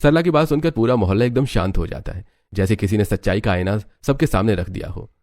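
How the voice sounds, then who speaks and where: Fast, low-pitched male story narrator. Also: a little mellow. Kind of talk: scripted dramatic narration of a Hindi family cartoon story.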